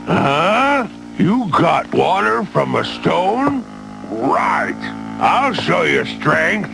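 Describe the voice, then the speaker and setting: Cartoon giant, gravelly, very slow drawl, high and swooping, music behind. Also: male voice, big pitch swings, heavily acted, rough recording. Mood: taunting. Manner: taunting. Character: slow gravelly male giant, mocking and boastful. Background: background music.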